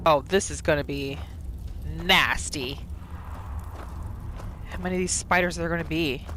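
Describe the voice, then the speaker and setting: A woman narrating a roleplay game as its host, casual and expressive, reacting aloud as she plays. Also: sits low, moderate pace, a little hiss or hum. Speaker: gaming video host. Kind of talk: casual roleplay gameplay commentary. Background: game ambience.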